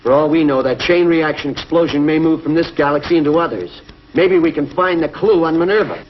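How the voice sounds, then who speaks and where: A man's voice: fairly high pitch, fairly quick, lightly acted. A man playing a level-headed, decisive space patrol pilot in 1950s television adventure style, clipped and commanding. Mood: urgent. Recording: dull 1950s TV film soundtrack.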